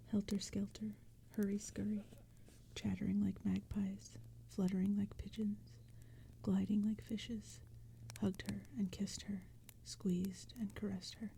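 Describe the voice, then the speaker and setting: A woman reading poetry as ASMR narration in a soft, breathy, hushed whisper, gentle and measured. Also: medium pitch. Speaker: narrator and reader. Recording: background crackling fire and forest ambience. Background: crackling fire and forest sounds.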